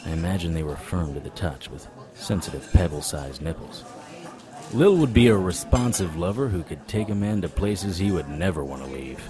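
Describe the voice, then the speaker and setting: Actor as low-voiced, wry hard-boiled detective. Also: male voice, moderate pace, music in the background, close to an everyday voice. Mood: wry narration. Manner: wry, sardonic. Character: hard-boiled male occult detective, wry and world-weary. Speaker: narrator and lead character. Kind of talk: noir audio drama narration and dialogue.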